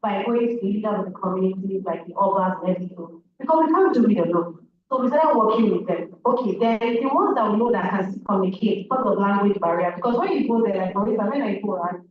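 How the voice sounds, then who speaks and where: A woman's voice: medium pitch, expressive, fast talker, crowd noise. A woman with a Nigerian accent, a native Igbo speaker, giving an earnest, emphatic talk as a speaker at a public event. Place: large event hall. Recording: echoey hall, distant muffled mic, audience noise.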